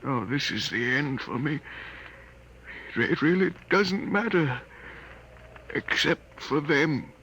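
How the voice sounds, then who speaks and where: A frail, halting old doctor. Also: male voice, on the high side, animated, pushed and bright, exaggerated voice. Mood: dying, resigned. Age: older. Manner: halting, faltering, repeats words. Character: frail, lonely old male doctor, apologetic, failing. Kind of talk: 1950s radio drama acting. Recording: noisy vintage 1950s radio recording.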